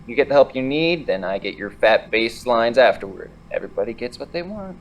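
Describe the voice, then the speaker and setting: A man's voice: medium pitch, lively intonation, a little fast, slight background noise, close to an everyday voice, poor audio. A man playing an eager, easily exasperated amateur music producer in a scripted audio drama, pushy and explanatory. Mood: persuasive.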